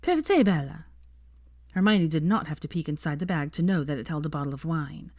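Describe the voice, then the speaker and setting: Woman narrating a story audiobook style, slightly low voice, dry recording. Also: fast, darkish tone, no echo.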